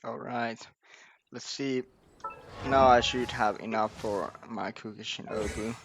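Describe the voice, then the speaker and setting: A man's voice: medium pitch, fairly even intonation, unhurried, noisy background. A man giving casual gameplay commentary, hesitantly thinking aloud. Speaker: gamer recording gameplay. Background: soft game music.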